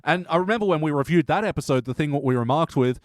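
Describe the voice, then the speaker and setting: A man's voice: a little high, lively intonation, fast talker, no room sound, studio quality. A man hosting a podcast review in a casual, conversational style. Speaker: podcast host leading a panel review. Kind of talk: casual chat.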